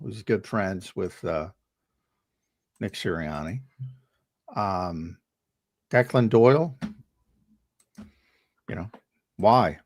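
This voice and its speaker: Emphatic man, slightly hoarse. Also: fairly low pitch. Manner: emphatic, repeats words when starting a point. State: losing his voice. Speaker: co-host and analyst. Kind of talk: sports talk show debate.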